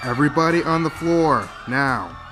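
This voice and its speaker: A man's voice: a little high, expressive, moderate pace, natural voice, low-quality recording. An actor playing an aggressive, threatening masked gunman in a radio play. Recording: music behind the voice.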